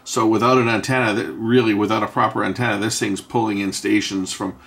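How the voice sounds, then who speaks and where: A man giving an unscripted, hands-on technical explanation in a halting way, often pausing and repeating himself to correct what he says. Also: on the low side, fairly even intonation, creaky, a bit bright, a little hiss or hum. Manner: halting, self-correcting, with frequent pauses and repeats. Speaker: host of an electronics repair channel.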